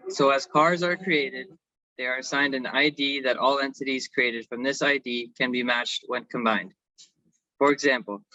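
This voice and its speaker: A man's voice: medium pitch, pushed and bright, no echo. A man giving a semi-scripted technical presentation as an engineering consultant, on a slightly dull recording.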